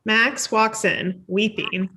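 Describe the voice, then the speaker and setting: A woman's voice: mid-range voice, steady tone, slow, pushed and bright, slight background noise. A woman reading the stage directions of a screenplay at a table read, as scripted narration.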